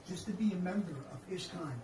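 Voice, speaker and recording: A woman's voice: lowish voice, fairly quick. A woman speaking up as an audience member with a comment at a religious lecture. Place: large temple hall. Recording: distant, echoey, noisy hall pickup.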